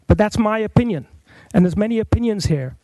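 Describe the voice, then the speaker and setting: A man with a high, mellow voice makes an earnest, measured appeal at a council meeting. He speaks as a rabbi into a podium microphone, and the sound is close and dry. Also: expressive, no echo, poor audio. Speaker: rabbi, public commenter. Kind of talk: public comment at a council meeting. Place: council chamber.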